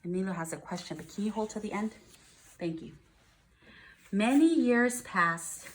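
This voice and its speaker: American woman, medium pitch, primary school teacher, child-directed read-aloud, simple explanations, checking questions. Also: lively intonation, moderate pace, a little hiss or hum. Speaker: first grade teacher.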